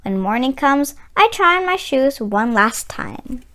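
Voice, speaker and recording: A woman reading a children's picture book aloud as host, with expressive, dramatic and deliberate phrasing. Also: high-pitched. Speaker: read-aloud channel host, storyteller.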